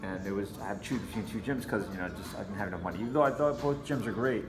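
A man with a lowish voice talks through his approach in a casual interview. He sounds conversational and explanatory, like a fighter who trains others. The room is echoey, with music behind him. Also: rapid-fire. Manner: conversational, explanatory, frequent "you know". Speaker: martial arts fighter and trainer being interviewed. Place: martial arts gym with mats, indoors. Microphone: camera a few feet away.

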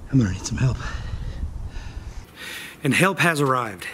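A man's voice: medium pitch, animated, unhurried, noisy background. A man, narrating his outdoor work straight to camera in a plain-spoken, joking way, recorded on an action camera by a creek. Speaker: outdoor explorer vlogger. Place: outdoors by a creek under a bridge. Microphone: action camera held or mounted close.

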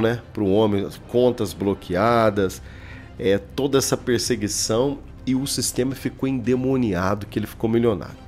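Husky male voice, Brazilian Portuguese accent, thirties, colloquial opinionated commentary, desk mic, music behind. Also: mid-range voice, a little mellow. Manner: colloquial, emphatic, addressing viewers directly. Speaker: online political commentator. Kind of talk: informal opinionated political commentary to camera. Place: small indoor room, home studio. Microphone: desk microphone.

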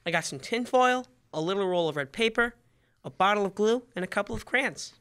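Teen boy as an earnest teenage son, glum, high for a male, radio comedy acting. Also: expressive, a little fast, fairly bright tone, clean tone. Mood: earnest, puzzled. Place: school library room, stage microphone.

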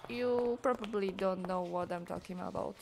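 A woman's voice: mid-range voice, normal speed, creaky, a little mellow, slight background noise. A young woman with a Slovak accent, chatting casually and giggling while playing a game with her partner. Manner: giggly, chatty, easily amused. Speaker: co-host gamer. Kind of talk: casual gameplay chat.

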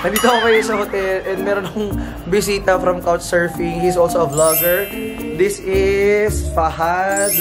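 Giggly high-voiced Filipino man vlogging. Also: fairly even intonation, moderate pace. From Philippines. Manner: chatty, giggly, mixes Tagalog and English. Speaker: travel vlogger. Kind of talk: casual vlog chat. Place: hotel room indoors, later street outdoors. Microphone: handheld camera at arm's length. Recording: music playing loud behind.

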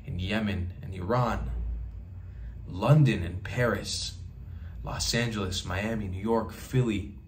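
A man's voice: lowish voice, slow, slight background noise. Emphatic man speaking straight to camera. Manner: emphatic, repeats phrases for rhetorical effect, gestures with hands. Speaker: travel vlogger. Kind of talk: impassioned direct-to-camera monologue. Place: small bedroom indoors.

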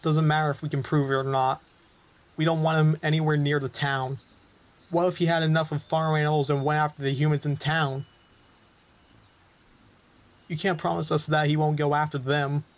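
A man's voice: on the high side, level tone, moderate pace, pauses often, lightly acted. A man playing a hostile, unyielding townsman in a fantasy roleplay audio drama, blunt and threatening. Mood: accusing.

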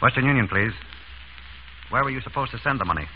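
An actor playing an urbane, wry surgeon turned amateur sleuth in an old-time radio drama. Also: male voice, medium pitch, lively intonation, fast, lightly acted. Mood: businesslike. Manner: urbane, wry, composed under threat. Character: suave, quick-witted male surgeon turned amateur sleuth. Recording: tinny, noisy vintage radio recording.

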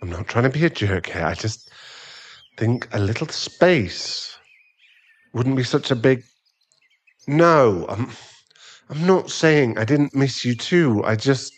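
A man plays an irritable sugar daddy boyfriend in an ASMR roleplay. He talks slowly and haltingly, sighing in exasperation, and his pitch swings widely as he pleads for space. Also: lively intonation. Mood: hesitant, placating.

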